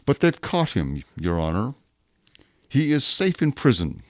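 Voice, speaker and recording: An actor playing a rigid, dutiful police inspector in a radio drama, speaking formally and deliberately with stiff deference. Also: male voice, mid-range voice, huge pitch swings, no echo, clean studio sound. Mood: insistent, certain. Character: rigid, dutiful male police inspector, self-accusing and obsessive.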